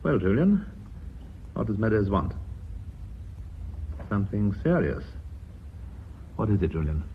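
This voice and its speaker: Low-voiced, formal board chairman. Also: big pitch swings. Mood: measured, concerned. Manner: measured, fussy, courteous. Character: formal, unflappable male board chairman, a knight. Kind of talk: British radio play acting. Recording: dull, faintly noisy radio recording.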